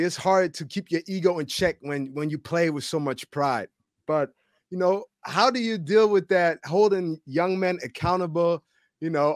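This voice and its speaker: A man hosting casual sports talk, telling stories in an animated, slangy way and repeating words for emphasis. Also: high-pitched, no echo, clean studio sound. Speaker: podcast host and former college football player.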